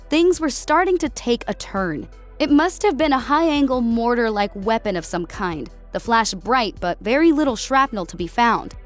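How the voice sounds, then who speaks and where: A woman reading scripted narration of a military science fiction story as an audiobook narrator. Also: fairly high pitch.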